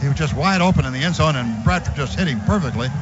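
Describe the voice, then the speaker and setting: Older male radio play-by-play announcer, brisk with big shouts, lo-fi noisy broadcast. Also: on the high side, lively intonation. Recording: dull lo-fi radio broadcast with loud background noise.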